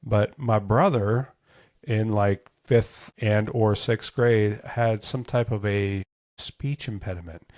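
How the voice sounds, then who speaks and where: A man in his fifties from the United States talks through his memories alone, loose and casual, often stopping to correct himself mid-sentence. His voice sits on the low side, and the recording is dry and close with no room sound. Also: takes it slowly, a little mellow, no echo. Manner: rambling, casual, with frequent self-corrections. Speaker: show host. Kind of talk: solo reminiscing monologue.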